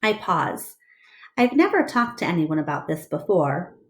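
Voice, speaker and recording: A woman teacher reading a storybook aloud to her class with expressive narration. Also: on the low side, unhurried.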